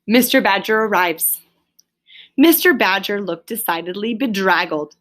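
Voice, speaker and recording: A woman's voice: mid-range voice, lively intonation, a little slow, fairly bright tone. A woman reading a children's story aloud expressively, as a storyteller who explains things to a listener. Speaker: reader, storyteller.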